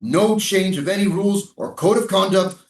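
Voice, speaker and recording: A man's voice: high-pitched, normal speed, lightly acted. A man playing a hard, hostile soldier who barks clipped, authoritarian lines in a theatrical staged reading. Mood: stern. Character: hard, rigid male military guard commander, obedient and hostile. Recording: echoey stage, distant microphone.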